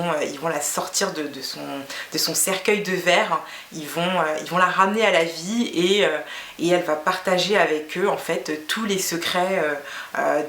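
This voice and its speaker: Lowish-voiced woman in her 30s from guinea, fula first language, lots of euh and restarts, talking to her camera. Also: reverberant, slight background noise. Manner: hesitant, many fillers and restarts. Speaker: beauty vlogger. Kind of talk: casual talk to camera.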